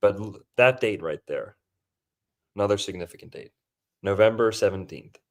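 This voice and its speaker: A man's voice: fairly low pitch, normal speed. A man hosting a podcast, casually breaking down a movie in a loose, rambling way with lots of fillers. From Florida. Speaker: podcast host.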